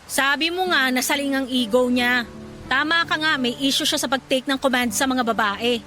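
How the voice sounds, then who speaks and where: A woman's voice: sits high, normal speed, pushed and bright, faint music behind. An actress playing a frustrated, principled female office worker, complaining emphatically in a Filipino radio drama. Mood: indignant.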